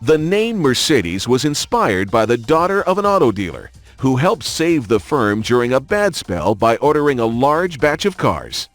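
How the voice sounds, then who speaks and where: Lively male narrator over music. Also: medium pitch. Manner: polished, measured announcer delivery. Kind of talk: scripted documentary-style narration for a racing game. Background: background music.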